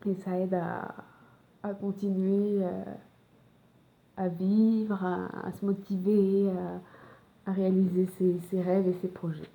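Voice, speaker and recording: A woman's voice: medium pitch, little pitch movement, slow pace, gravelly, pauses often, dark tone. A young Frenchwoman with a French accent, talking casually to camera in a hesitant way, with many fillers and restarts. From France. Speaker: vlogger. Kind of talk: personal casual talk to camera. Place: home in Montreal.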